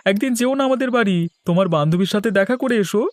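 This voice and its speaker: Polite cartoon village shopkeeper played by a man, unusually high male pitch, brisk, faint music underneath. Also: animated. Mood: polite, friendly. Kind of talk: Bengali cartoon dialogue. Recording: light music behind the voice.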